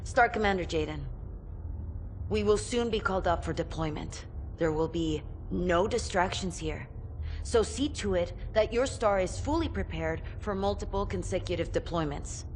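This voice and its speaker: A woman plays a ruthless colonel with a lowish voice, issuing clipped and imperious orders in dramatic game style. Faint noise sits behind her. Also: lively intonation, normal speed, lightly acted. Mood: imperious, menacing. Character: commanding, ruthless female military colonel. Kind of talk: dramatic video game acting.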